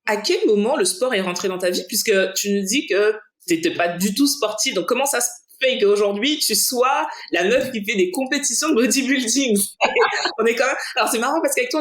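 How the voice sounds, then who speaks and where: A woman's voice: on the high side, fairly quick. A woman hosting a podcast, asking questions in an upbeat, enthusiastic, colloquial way. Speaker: podcast host. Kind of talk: casual podcast interview. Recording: roomy echo.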